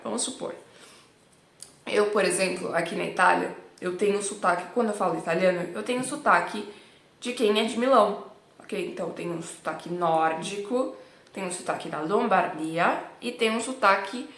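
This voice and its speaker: A woman's voice: medium pitch, a bit bright. A Brazilian woman with a São Paulo accent, teaching in a chatty, emphatic way full of small tag words. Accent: São Paulo Brazilian Portuguese. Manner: chatty and emphatic, frequent "né" and "tipo" tags. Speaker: Italian teacher. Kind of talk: casual teaching vlog. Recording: roomy echo.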